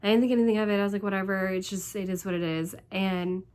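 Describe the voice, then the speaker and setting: A woman with a hoarse, congested voice from laryngitis and a cold talks casually to her viewers. Her pitch sits in the middle of the usual range for women. She rambles along with plenty of "like" and "um". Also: steady tone, fast talker, darkish tone. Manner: rambling, chatty, full of "like" and "um". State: congested, stuffed up. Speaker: vlogger. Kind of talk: casual storytelling vlog.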